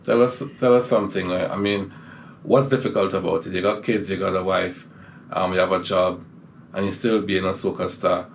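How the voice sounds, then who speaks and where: A man's voice: deep voice, flat delivery, moderate pace, a little hiss or hum. A man hosting a casual podcast interview, encouraging and often affirming with "right". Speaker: podcast host.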